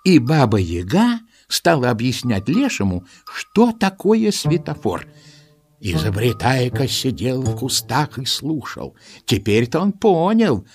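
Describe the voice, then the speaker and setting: Animated male storyteller over music. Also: medium pitch. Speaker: narrator. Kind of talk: expressive storytelling for a children's audio play.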